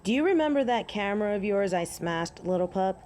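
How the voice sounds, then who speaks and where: A woman's voice: mid-range voice, lively intonation, a little fast, a little mellow, a little hiss or hum, close to an everyday voice. A woman playing a proud, honorable female werewolf in an audio drama, speaking in formal, old-fashioned, solemn phrases. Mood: smug, teasing.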